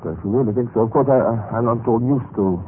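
An actor playing a timid, apologetic dead government clerk who tells his own story haltingly and deferentially in a radio drama. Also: mid-range voice, a little hiss or hum, close to an everyday voice. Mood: flustered, servile. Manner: hesitant, stammering, deferential. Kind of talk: radio drama acting, first-person storytelling. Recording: muffled old radio recording with music.

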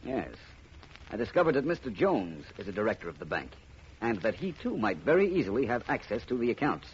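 An actor playing a shrewd, confident gentleman detective in a vintage radio mystery, crisp and commanding. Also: fairly low pitch, big pitch swings, brisk. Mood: assured, explaining. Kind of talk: 1950s radio drama acting. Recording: muffled vintage radio recording, faint noise.

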